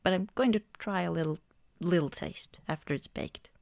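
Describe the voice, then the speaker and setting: A woman doing a soft-spoken ASMR girlfriend roleplay, gentle and often slipping toward a whisper, close to the microphone. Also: fairly low pitch, normal speed, gravelly, dry recording. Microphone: close microphone, dry sound.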